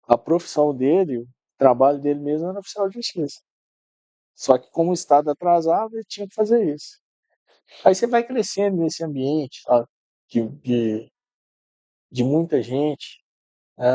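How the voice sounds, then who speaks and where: A man's voice: a little high, dark tone, no room sound, poor audio. A middle-aged man, a podcast guest telling stories in casual chat, often restarting and repeating words. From Minas Gerais, Brazil.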